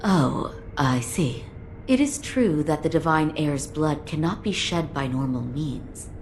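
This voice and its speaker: Low-voiced, composed lady physician. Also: lively intonation, unhurried, darkish tone, slight background noise. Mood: calm, grave. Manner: formal, composed. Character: calm, knowledgeable female physician, courteous and reserved. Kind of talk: video game cutscene acting.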